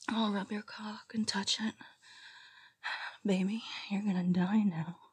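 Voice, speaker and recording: A woman voicing an unstable, possessive female lover in an audio roleplay, speaking in a breathy, often whispered voice. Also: medium pitch, warm tone, dry recording. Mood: sultry, menacing. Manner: slow, intimate delivery that swings to desperate pleading.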